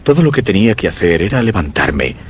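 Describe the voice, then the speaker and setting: Deep-voiced, dread-stricken Spanish narrator. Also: male voice, very animated, noisy background. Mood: grim, weary. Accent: Castilian Spanish. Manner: grave, measured, literary. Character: weakened, dread-stricken husband telling his macabre story. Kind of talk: Spanish radio horror drama narration. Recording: dull radio sound with music underneath.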